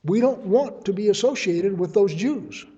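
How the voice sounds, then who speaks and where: Mellow-voiced man teaching scripture in a reverberant hall. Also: sits high, husky, lo-fi sound. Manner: measured, reasoning aloud, offers tentative readings. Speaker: Bible teacher. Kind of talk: verse-by-verse Bible study teaching.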